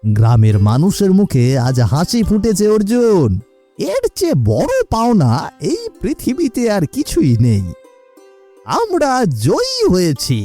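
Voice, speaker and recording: Man acting an animated, earnest old village uncle. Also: high-pitched, a little slow, soft background music, heavily acted. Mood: proud, hopeful. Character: earnest, emotional elderly village uncle, proud and moralising. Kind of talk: cartoon acting.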